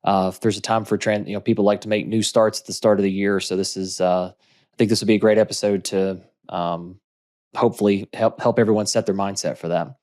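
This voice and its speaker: A man's voice: fairly low pitch, fairly even intonation, brisk, darkish tone. A man co-hosting a podcast, chatting in an easygoing way with frequent fillers and restarts. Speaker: podcast co-host. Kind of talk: casual podcast chat.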